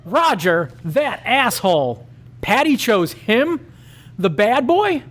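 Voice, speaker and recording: A man's voice: very high voice, sing-song, slow, a bit bright. A man reading a raunchy comic story aloud at a live show, with mock-dramatic narration.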